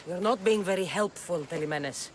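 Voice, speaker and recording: A woman's voice: fairly low pitch, moderate pace, a little hiss or hum, natural voice. An actress playing a tough, wry young mercenary woman with a Greek accent, dry and self-assured, in a video game cutscene. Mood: confident.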